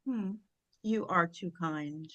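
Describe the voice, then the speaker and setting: A woman's voice: fairly low pitch, slow pace, a bit bright, highs cut off. A woman playing a self-satisfied, overachieving First Lady with a gracious, composed, boastful manner in a comedy play reading. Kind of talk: comedy play table read.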